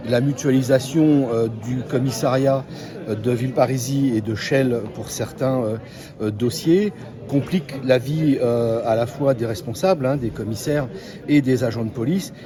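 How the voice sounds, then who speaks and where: A man speaking as a mayor in a formal interview statement, deliberate, with many pauses. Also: medium pitch. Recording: clear crowd chatter behind the voice. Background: crowd chatter.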